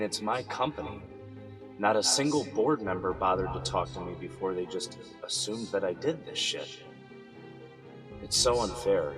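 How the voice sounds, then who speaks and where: A man's voice: deep voice, lightly acted. An actor playing an indignant, anxious businessman wrongly jailed for murder, thinking aloud in an audio drama. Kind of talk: audio drama inner monologue. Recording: music playing behind the voice. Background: music.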